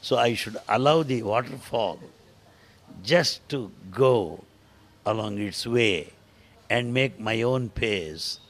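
An older man with an Indian accent and Telugu as his first language, answering interview questions at a measured pace with small pauses and fillers. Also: medium pitch, a bit bright. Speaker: interviewee, translator.

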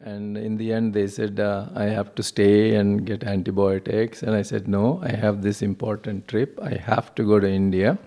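Telugu-native man recounting a story, hesitant, warm low-toned voice. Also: level tone, gravelly. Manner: halting, many fillers. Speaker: interviewee.

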